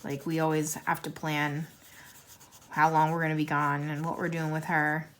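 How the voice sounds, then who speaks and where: A woman's voice: low-pitched, flat delivery, moderate pace, fairly bright tone. A woman in her thirties chatting casually to camera, rambling and self-deprecating, with many fillers. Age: 30s. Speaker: YouTuber answering a tag questionnaire. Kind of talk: casual chat while coloring.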